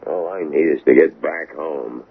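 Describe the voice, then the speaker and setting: Very deep, gravelly American tough guy. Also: normal speed, full character voice. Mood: irritated, menacing. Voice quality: gravelly, husky. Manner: curt, slangy, bossy. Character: tough, domineering male smuggler on the run. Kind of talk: 1980s radio drama acting. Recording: narrow tinny old radio recording.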